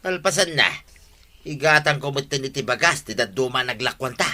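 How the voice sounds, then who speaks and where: An actor playing a cheeky, scheming village vendor, brash and wheedling, in a comedic radio drama. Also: male voice, mid-range voice, animated, normal speed, sharp tone.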